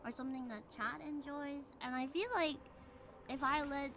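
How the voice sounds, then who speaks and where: A woman live streamer chatting casually and politely with her viewers in a soft-hearted way. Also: high voice, fairly even intonation, moderate pace. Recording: clearly noisy stream audio.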